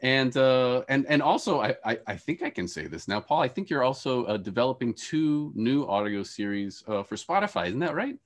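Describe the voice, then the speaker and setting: A man's voice: medium pitch, big pitch swings, moderate pace, few pauses. A man in his forties moderating a panel over a video call, speaking in hesitant phrases with repeated words. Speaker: host and moderator, professor. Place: indoor room with shelves, video call.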